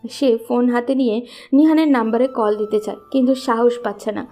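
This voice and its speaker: A woman's voice: a little high, a little fast, mellow, faint music behind. A woman narrator reading a scripted love story.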